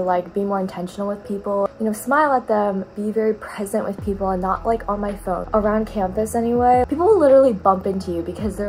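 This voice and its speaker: A woman's voice: medium pitch, darkish tone, rough recording. Chatty young woman vlogging. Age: young adult. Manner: chatty, encouraging, lots of "like". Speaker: college student vlogger. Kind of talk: casual vlog talk to camera.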